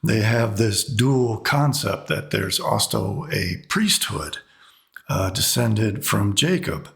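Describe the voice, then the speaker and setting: A middle-aged or older man with a slightly hoarse voice, giving an unscripted commentary monologue with halting, deliberate emphasis. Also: fairly low pitch, lively intonation, fairly bright tone. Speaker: host of an online commentary video.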